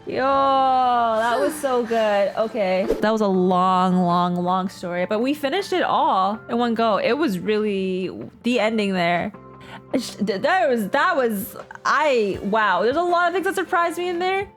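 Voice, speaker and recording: A woman streamer giving casual, excited reaction commentary in an exclamatory, slangy way. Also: fairly high pitch, measured pace, faint music behind. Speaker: streamer reacting to a game story.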